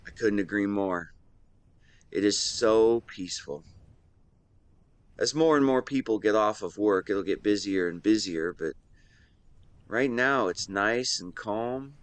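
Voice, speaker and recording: A man playing an affectionate, playful young best friend in a romantic audio roleplay, speaking to the listener in a teasing, tender, expressive way. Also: lowish voice, slow pace, long pauses, smooth, dry recording. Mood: relaxed, content.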